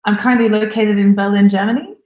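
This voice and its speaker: A woman in her thirties gives a recorded talk from a home room, speaking at a middle pitch with plenty of eagerness. Her voice rings a little in the room around the webcam microphone, so the sound is roomy rather than close. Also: fast, muffled recording. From Australia. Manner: enthusiastic, lively presenter. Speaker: conference speaker.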